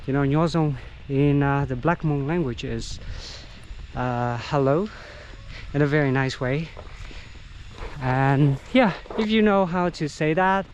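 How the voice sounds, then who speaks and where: Slow-talking man guiding an outdoor walk. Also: a little high, dull audio, slight background noise. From Vietnam. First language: Vietnamese. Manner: unhurried, halting, with frequent fillers. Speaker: local tour guide. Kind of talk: casual walking vlog narration. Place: outdoors on countryside trails.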